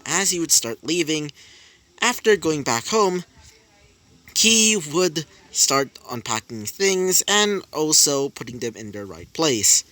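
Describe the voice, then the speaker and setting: A man improvising a fan story with many fillers and repeated speech tags. His pace is slow and his pitch moves a lot. His voice is bright and slightly high. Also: animated, no echo.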